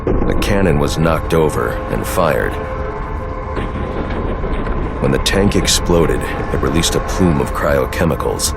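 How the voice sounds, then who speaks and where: A man playing a grim, brooding vigilante detective in a video game, speaking in a terse, stern, deliberate way with a gravelly voice. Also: rumbling low, expressive, heavily acted. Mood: analytical. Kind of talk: video game dramatic acting. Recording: loud music behind the voice.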